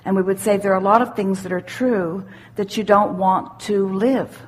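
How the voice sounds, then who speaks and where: A woman giving spiritual self-help teaching to a questioner, assured and deliberate, repeating phrases for emphasis. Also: medium pitch, steady tone, husky. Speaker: speaker leading a question-and-answer workshop.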